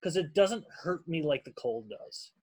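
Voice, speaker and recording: Higher-voiced, rambling Minnesotan man. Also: animated, a little slow, darkish tone, slight background noise. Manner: rambling, heavily profane. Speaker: podcast host. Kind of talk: casual chat.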